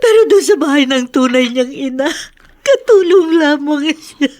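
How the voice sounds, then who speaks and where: A woman's voice: high-pitched, expressive, unhurried, darkish tone. A woman playing a worried, tender-hearted foster mother with a plaintive, respectful manner in a radio drama. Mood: tearful pity. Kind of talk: Tagalog radio drama acting.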